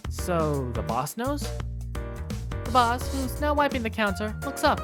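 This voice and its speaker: A woman reading first-person narration and lead lines for a game fandub in an expressive, conversational way. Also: fairly high pitch, unhurried. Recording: faint music behind the voice.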